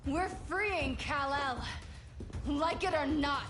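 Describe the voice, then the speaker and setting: A fierce young superheroine for a video game, very high in pitch. She sounds earnest and combative, with loud music behind her. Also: slow. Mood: defiant.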